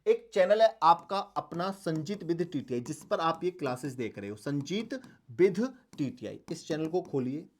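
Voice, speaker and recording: A man's voice: fairly high pitch, expressive, normal speed. A man teaching an online exam-preparation lesson, instructing students affectionately, repeating his points and posing rhetorical questions. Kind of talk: online coaching lesson, strategy talk to students.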